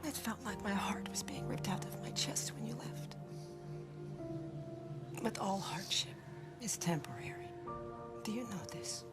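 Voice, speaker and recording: An actress playing a gentle, long-suffering mother who consoles her grown son, hushed, breathy and solemn, in a game cutscene. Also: medium pitch, expressive, whispered, over loud music, natural voice. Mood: sorrowful, soothing. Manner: slow, soothing, solemn. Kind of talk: dramatic game cutscene acting.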